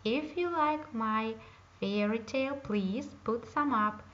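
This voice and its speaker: A woman reading a fairy tale aloud in a slow, careful storytelling manner. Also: a little high, fairly bright tone. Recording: dull lo-fi home recording.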